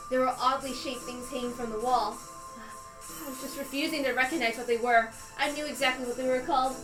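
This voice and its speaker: A woman's voice: high-pitched, reverberant, low-quality recording. A woman reading a visual novel aloud as a dramatic first-person narration. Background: background music.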